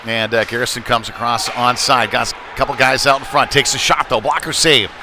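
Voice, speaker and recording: A man's voice: medium pitch, fairly even intonation, brisk, background noise. A man giving live play-by-play commentary of a youth ice hockey game, with conversational, folksy asides.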